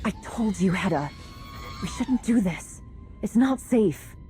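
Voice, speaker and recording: An earnest, gentle woman in a game scene, pleading and concerned. She speaks slowly in a soft, dark-toned voice of medium pitch, with light music behind. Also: animated. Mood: worried. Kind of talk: video game cutscene acting.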